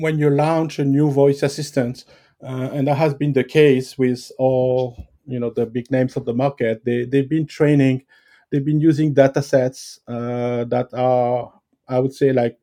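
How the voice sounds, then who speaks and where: A man with a French accent answering interview questions, pausing often with fillers and restarts. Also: medium pitch, mellow. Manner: hesitant, many fillers and restarts. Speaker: guest expert.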